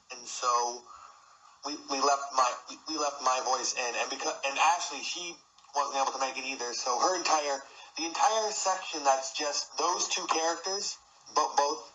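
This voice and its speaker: A man with a bright, somewhat low-pitched voice gives casual explanatory commentary as a producer. He talks haltingly and repeats phrases, on a home recording with faint noise. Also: rough recording. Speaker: producer and presenter of an audio drama.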